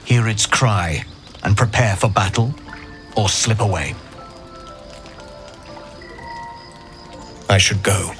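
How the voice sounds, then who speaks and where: An actor playing a solemn githyanki knight and secret rebel in a fantasy game, speaking in a deliberate, formal way. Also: male voice, on the low side, over music. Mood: solemn, resolute. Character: grave githyanki knight, a secret rebel against his queen. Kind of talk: dramatic fantasy game acting.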